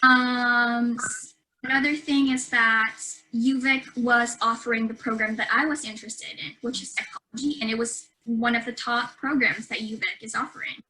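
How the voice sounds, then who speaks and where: A young woman from the Philippines talks about her studies in a chatty, easygoing way. Her voice is bright and a little high. She fills her talk with small pauses and fillers. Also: steady tone, a little hiss or hum, low-quality recording. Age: young adult. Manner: chatty, with fillers and light laughs. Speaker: university student. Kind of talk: casual webinar introduction.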